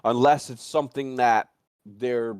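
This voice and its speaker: A man's voice: medium pitch, steady tone, measured pace, darkish tone, smooth, no echo, clean studio sound. A man chatting casually and speculating in a rambling, repetitive way, with some swearing. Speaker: livestream talk participant. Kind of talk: casual chat, animated storytelling and speculation.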